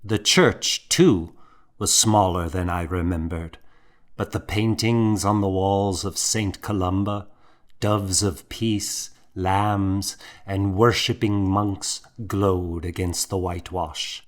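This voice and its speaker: A man narrating a historical novel in the first person, with a measured, dramatic storytelling manner. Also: low voice, fairly even intonation. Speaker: audiobook narrator.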